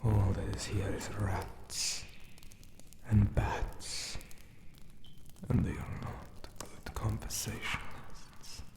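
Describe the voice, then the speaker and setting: A man voicing a lonely, honor-bound Anubis monster who guards an ancient tomb, in a whispered ASMR roleplay with solemn, formal speech. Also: flat delivery. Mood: lonely, yearning. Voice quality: breathy whisper with gravelly low tone. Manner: slow, solemn, formal archaic phrasing.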